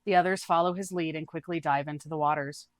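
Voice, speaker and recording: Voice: mid-range voice, fairly even intonation, quick pace, dry recording. A person reading a scripted fantasy adventure story aloud as its narrator. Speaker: storyteller, tabletop campaign player.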